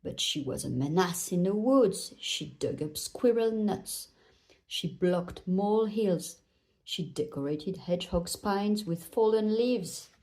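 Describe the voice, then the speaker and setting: Mellow, unhurried woman reading a storybook. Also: lowish voice, expressive. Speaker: children's book reader. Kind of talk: expressive storybook narration read aloud.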